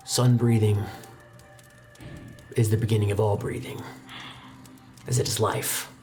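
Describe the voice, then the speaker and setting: A man acts out a stern old master of the sword for a tabletop game. He is solemn and measured, giving instruction with gravity. Music plays behind him. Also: on the low side, level tone, darkish tone, natural voice. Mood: grave, reverent. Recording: background music under the voice.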